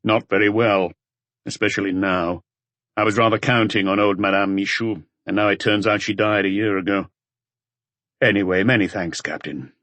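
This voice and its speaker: Maigret, a measured low-voiced detective played by a man. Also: steady tone, a bit bright, no room sound, natural voice. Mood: resigned. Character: Maigret, patient, serious middle-aged male police detective.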